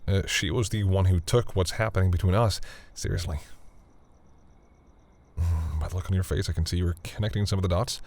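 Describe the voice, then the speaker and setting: Flustered vampire boyfriend, played by a male actor, deep husky voice, halting and tender, intimate audio roleplay. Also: darkish tone. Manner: hesitant, halting, stammering delivery with sighs.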